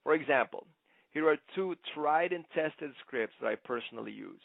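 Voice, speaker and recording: A man giving an instructional sales presentation like a trainer, conversational but emphatic, often checking in with a quick "okay?". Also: fairly high pitch, animated, gravelly, no echo. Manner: conversational, punctuates points with "okay?". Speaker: network marketing trainer. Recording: muffled, narrow phone-like sound.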